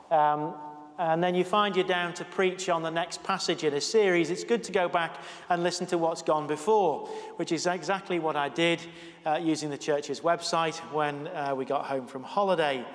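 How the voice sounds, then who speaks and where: A male preacher giving a sermon in a church, chatty with self-deprecating asides. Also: fairly high pitch. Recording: long church reverb.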